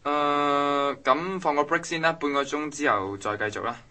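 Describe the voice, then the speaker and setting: A man's voice: fairly high pitch, moderate pace, very noisy, natural voice. A man acting an earnest, hesitant office team leader in a Cantonese radio drama, with a Hong Kong accent and a slightly stammering delivery.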